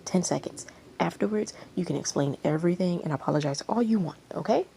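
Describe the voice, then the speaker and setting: An excitable man who schemes and coaxes as played by a woman reader talks urgently in a mellow, slightly creaky voice. It sounds like a storybook manager. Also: lowish voice, brisk, slight background noise. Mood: coaxing. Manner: urgent, coaxing.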